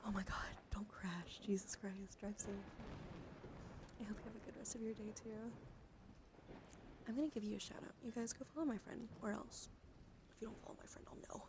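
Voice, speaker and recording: A woman hosts an ASMR livestream in a gentle, soothing murmur that often drops toward a whisper. Her voice is soft and noticeably creaky, with a coaxing, personal-attention delivery. Clear background noise sits behind her on the live recording. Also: medium pitch, fairly even intonation, brisk, pauses often. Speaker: live streamer host. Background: background noise, dog outside.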